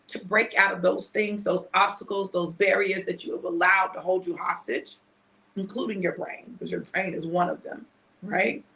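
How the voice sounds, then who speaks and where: A woman in her fifties, chatty and repetitive, mixing a prophetic word with a casual sales pitch and often asking "right?". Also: vocal fry, sharp tone, rough recording. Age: 50s. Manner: chatty, repeats phrases, asks "right?". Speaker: preacher selling a product.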